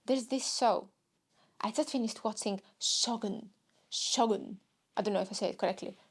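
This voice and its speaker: A woman's voice: medium pitch, moderate pace, husky. Rambling Greek woman, comic monologue. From Greece. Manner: rambling, self-mocking, jumps into silly voices. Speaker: podcast host.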